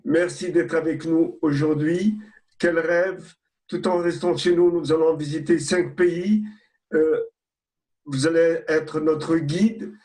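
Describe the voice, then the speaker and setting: A man's voice: fairly high pitch, darkish tone. A man giving an effusive, marvelling welcome on a video call as president of a congregation. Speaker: synagogue president.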